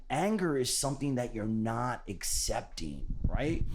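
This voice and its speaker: A man's voice: on the low side, darkish tone. A man hosting a podcast, talking earnestly and casually about self-love, repeating key phrases and swearing now and then. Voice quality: called a voice for radio by others. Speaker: podcast host. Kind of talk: motivational podcast talk.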